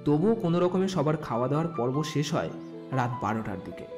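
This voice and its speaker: Animated male storyteller over music. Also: a little high, fairly quick. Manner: expressive storytelling. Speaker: narrator. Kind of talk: scripted story narration. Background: background music.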